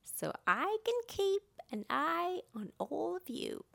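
Officious owl, voiced by a woman, children's storybook, high, loud, announcing, watchful. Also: big pitch swings, slow pace, creaky, full character voice. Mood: instructing.

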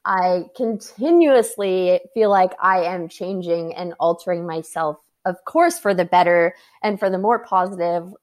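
A woman's voice: on the low side, a little slow, few pauses. Upbeat Canadian woman in her twenties. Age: 20s. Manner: upbeat, laughs often. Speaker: guest. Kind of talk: casual interview chat.

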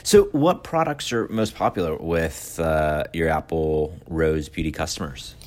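A man's voice: rumbling low, expressive, unhurried, darkish tone. A man hosting a podcast interview, upbeat, with filler words. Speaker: podcast host.

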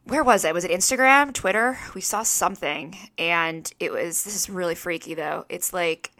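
A woman's voice: on the low side, normal speed, pushed and bright, no room sound. A woman co-hosting a casual podcast, bubbly and rambling with lots of "like.". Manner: bubbly, rambling, many "like"s and restarts. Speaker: podcast co-host. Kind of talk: casual chat.

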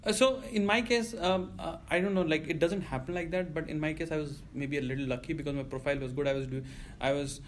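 A man's voice: on the high side, fast talker, slight background noise. A man in his thirties from India with an Indian accent, telling his story in a casual interview, cursing often and rambling with fillers. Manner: profane, rambling, lots of you know fillers. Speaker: guest.

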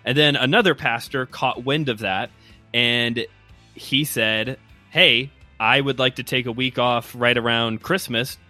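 A man's voice: mid-range voice, fairly even intonation, unhurried, sharp tone, faint music behind. A man in his twenties with a Chicago accent, telling a friendly, rambling story as a guest host on a podcast. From Chicago area, US Midwest. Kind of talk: casual podcast chat.

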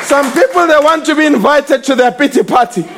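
High-voiced shouting preacher. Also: male voice, a little fast, a loud crowd. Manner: shouting, emphatic, repeats slogans. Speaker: pastor. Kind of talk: fiery preaching sermon. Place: church service with congregation. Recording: congregation noise behind.

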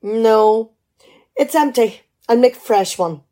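A woman's voice: a little high, takes it slowly, dark tone. A middle-aged woman, playing a shrewd, teasing, practical wife in a radio play. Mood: teasing, determined. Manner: dry, bantering, probing. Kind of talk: amateur radio play dialogue.

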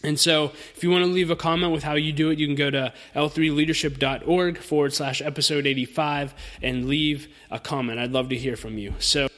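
Guy around 30 doing a solo podcast, kinda higher voice, earnest n encouraging, chatty, lots of hall reverb on it. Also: steady tone, brisk, few pauses, fairly bright tone. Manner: earnest, informal direct address to listeners. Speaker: podcast host.

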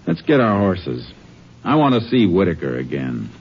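Stern American lawman, very low voice, laconic, methodical, on a muffled old radio western recording. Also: sing-song, moderate pace. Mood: firm, resolute. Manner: laconic, matter-of-fact. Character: stern, methodical male Texas Ranger investigating a murder. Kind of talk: 1950s radio western acting and narration. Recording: muffled, slightly noisy 1950s radio recording.

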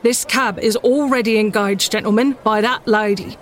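A woman's voice: on the high side, measured pace, lightly acted. A kindly, plain-spoken London cab driver from a storybook, voiced by a woman narrator, firm and reassuring. There is some noise behind the voice. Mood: stern, wry.

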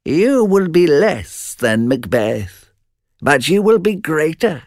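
A man voicing three eerie witches who call out prophecies in high, drawn-out, distant voices. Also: sing-song, a little mellow, no room sound, clean studio sound, full character voice. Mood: eerie chant.

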